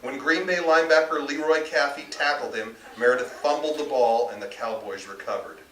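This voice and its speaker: Bright-voiced American man reading, roomy sound. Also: medium pitch, a little fast. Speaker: author reading own novel. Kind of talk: live literary reading, narration. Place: bookstore reading event, indoors. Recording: roomy, distant live recording, faint noise.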